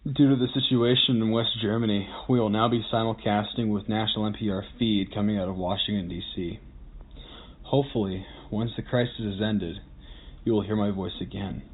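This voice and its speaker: A man playing a somber local radio announcer with a husky voice, subdued, in a radio drama. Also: lowish voice, steady tone, brisk. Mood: somber, resigned. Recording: narrow phone-like radio sound.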